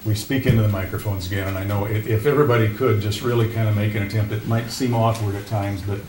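A man in his sixties, a mayor chairing a city council meeting in a casual, halting way with restarts and fillers. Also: on the low side, steady tone, a little mellow. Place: council chamber. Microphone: desk microphone. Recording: roomy chamber, faint noise.

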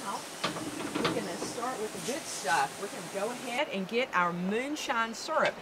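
A woman in her fifties from the American South walks viewers through a recipe in a chatty, instructive way. Her voice sits a little high. She is recorded on a wooded deck through a lapel mic, with outdoor noise clearly audible behind her. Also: animated, moderate pace. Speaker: guest cook. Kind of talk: cooking demonstration.

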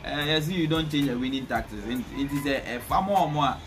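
A young Ghanaian man giving casual football punditry, speaking in a halting way and often repeating words. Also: mid-range voice. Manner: halting, repetitive. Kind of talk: casual sports punditry, mixing English with a local language. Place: small bare indoor room, seated at a table. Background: music.

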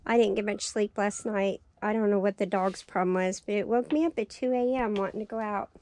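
A woman walking through her greenhouse, chatting cheerfully about her seedlings, her voice raspy and tired from lack of sleep. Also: medium pitch, steady tone, a little fast, darkish tone. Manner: chatty, cheerful, thinking aloud. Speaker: farm vlogger. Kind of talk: casual walkthrough narration. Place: small greenhouse, indoors.

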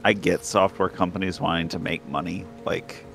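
A man's voice: low-pitched, fairly even intonation, normal speed, vocal fry, fairly bright tone. A man playing co-op games and chatting casually as he plays. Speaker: co-op gamer. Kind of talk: casual chat while gaming. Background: faint game music.

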